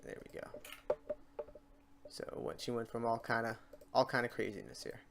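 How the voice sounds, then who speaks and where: Male tutorial host, medium pitch, informal and off the cuff, muttering his way through on-screen steps. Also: moderate pace, gravelly, heavy background noise. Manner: informal, talks to himself while clicking through steps. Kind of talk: casual unscripted screen-recorded tutorial narration.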